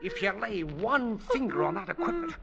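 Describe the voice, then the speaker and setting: Excitable high-voiced nervous schoolteacher. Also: male voice, normal speed, vocal fry, highs cut off, a crowd in the background, heavily acted. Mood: bitter, threatening. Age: middle-aged. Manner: flustered, stammering, deferential. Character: henpecked, eager, nervous amateur-scientist schoolteacher husband. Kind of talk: 1940s-50s radio drama acting. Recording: noisy, crackly vintage radio recording.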